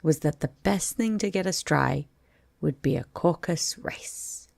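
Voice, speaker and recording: Woman voicing a solemn, pompous storybook dodo, gravelly. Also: sits low, darkish tone, dry recording, studio quality, heavily acted. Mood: offended. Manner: grave, wordy, deliberate delivery. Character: solemn, pompous, easily offended male dodo. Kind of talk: storybook character voice.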